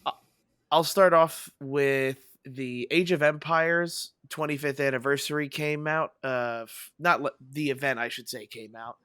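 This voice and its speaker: A man hosts a gaming podcast with a soft, breathy voice that is hoarse after losing it. His tone is bright, and he rambles eagerly with plenty of fillers. The sound is dry and close-miked. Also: on the high side, fairly even intonation, a little slow, no room sound. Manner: rambling, enthusiastic, many fillers. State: hoarse, voice partly lost. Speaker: podcast host. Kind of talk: casual podcast chat.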